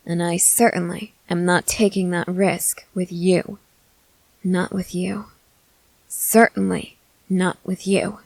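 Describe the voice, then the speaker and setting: Woman voicing a slow, creaky, commanding vampire woman. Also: lowish voice, a little mellow, no room sound, rough recording. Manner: measured, deliberate, formal phrasing. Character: protective, controlling century-old female vampire, calm and firm. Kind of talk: scripted ASMR audio roleplay.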